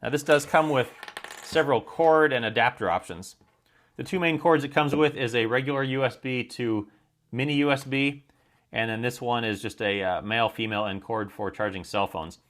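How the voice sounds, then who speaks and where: A man's voice: mid-range voice, normal speed, fairly bright tone. Matter-of-fact American man reviewing a product. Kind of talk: informal product demonstration and review.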